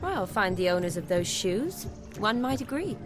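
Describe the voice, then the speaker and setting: A woman's voice: mid-range voice, sing-song, moderate pace, over music, close to an everyday voice. A woman playing a spirited young witch in a video game cutscene, with a dry, teasing manner. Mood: wry, teasing. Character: wry, spirited young witch, amused by her suitor.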